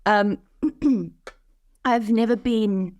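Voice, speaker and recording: A woman's voice: fairly high pitch, very slow, darkish tone, dry recording, highs cut off. A woman from New Zealand, a podcast guest, telling her story in casual conversation over a video call, with many fillers and restarts. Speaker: podcast guest, parish leader.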